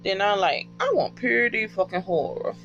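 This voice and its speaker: An American man chatting casually in a rambling, slangy, profane and excitable way, like a fan telling friends a story. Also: sits high, normal speed, gravelly. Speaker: podcast fan reviewing favourite shows. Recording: dull lo-fi audio with music behind.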